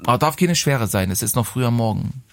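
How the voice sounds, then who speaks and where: Guy in his 40s hosting morning radio, talks fast, teasing and chatty, dry studio sound. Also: mid-range voice, expressive, gravelly, no echo. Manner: playful, teasing, talkative. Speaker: radio morning show host. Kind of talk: chatty live radio presenting. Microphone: close studio microphone.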